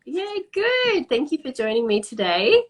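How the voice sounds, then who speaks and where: A woman's voice: high voice, very animated, unhurried. A woman hosting a live-streamed video interview, talking in a chatty, enthusiastic way with many "um" fillers. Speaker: host.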